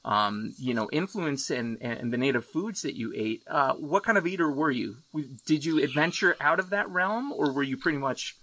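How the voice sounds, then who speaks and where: Bright-voiced, exuberant American podcast host man. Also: on the high side, expressive, normal speed, hardly any pauses, no echo. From United States. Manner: exuberant, swears casually. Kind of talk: casual interview chat. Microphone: remote video call.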